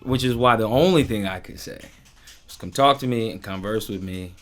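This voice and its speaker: A man's voice: lowish voice, normal speed. Male podcast host, music behind him. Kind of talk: casual podcast chat.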